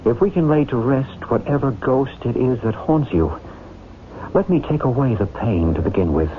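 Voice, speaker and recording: An actor playing a calm, probing psychic healer in an old-time radio drama, measured and solemn. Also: male voice, medium pitch, natural voice. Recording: tinny old radio broadcast with faint noise.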